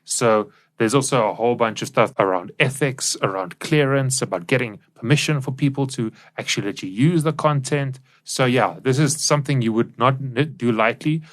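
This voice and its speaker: A man's voice: mid-range voice, moderate pace, hardly any pauses. A man co-hosting a podcast, explaining things in a discussion style. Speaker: podcast co-host.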